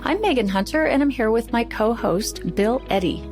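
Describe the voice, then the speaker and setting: A woman's voice: on the high side, animated, normal speed, over music. A woman co-hosting a podcast, introducing and talking through the discussion in a conversational way. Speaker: podcast co-host.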